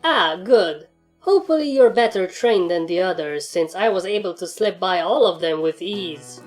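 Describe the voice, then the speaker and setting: A woman's voice: on the low side, animated, normal speed, darkish tone, close to an everyday voice. Young female pony, played by a woman: storybook heroine, old beyond her years, cool, argumentative, music underneath. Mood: nonchalant. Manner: nonchalant, argumentative. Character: precocious, self-assured filly with adult mind.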